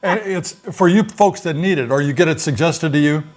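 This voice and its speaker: An older man co-hosting a casual chat show from home, folksy and chatty, explaining things at an easy, unhurried pace. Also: a little high. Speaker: co-host of a retiree channel.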